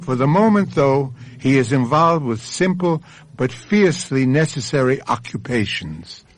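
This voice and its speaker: A man hosting a radio mystery drama, narrating in a dramatic, portentous, deliberate manner. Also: medium pitch, sing-song. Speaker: host and narrator.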